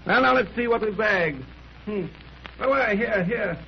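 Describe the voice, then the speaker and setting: A confident detective in a 1930s radio play, brisk and wryly condescending. His high-pitched voice has a gravelly edge. The old recording is muffled under steady noise. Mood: absorbed, eager. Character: keen, confident male detective with dry teasing wit. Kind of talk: 1930s radio drama acting.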